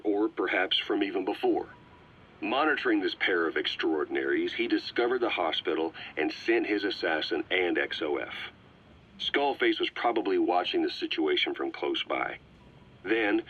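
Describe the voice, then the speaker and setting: An actor playing a grim, brooding intelligence officer who delivers a secret briefing deliberately and ominously in a raspy voice, as in a dramatic video game. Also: male voice, low voice. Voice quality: raspy, husky. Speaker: intelligence officer reading out a report. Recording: slightly muffled, dull cassette-tape sound.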